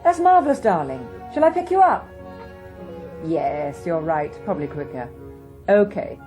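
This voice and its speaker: An actress playing an affectionate, brisk wife and mother who coaxes her family along. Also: low-pitched, big pitch swings, mellow, faint music behind. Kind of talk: British political drama acting.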